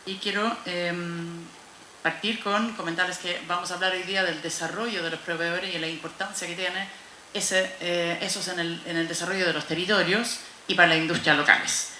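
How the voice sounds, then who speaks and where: A woman's voice: lowish voice, fairly even intonation, normal speed, pushed and bright. A woman moderating a conference panel, speaking with frequent hesitations. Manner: hesitant, with fillers. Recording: echoey room with faint noise.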